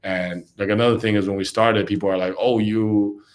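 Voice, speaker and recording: A man with a deep, low voice who grew up in Congo answers interview questions as a company CEO over a remote call. He talks casually and keeps checking in with the listener with a quick "right?". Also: steady tone. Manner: conversational, often tags "right?". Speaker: startup CEO guest.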